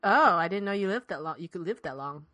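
A woman's voice: lowish voice, animated, quick pace, sharp tone, no room sound. A woman interviewing in an improvised comedy piece, playing it straight and often hesitantly repeating her words.

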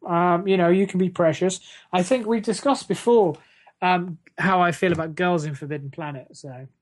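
A podcast co-host with a medium-pitched voice in casual conversation. The delivery is dry and teasing, with a mock-earnest way of making points. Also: moderate pace. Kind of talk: casual chat.

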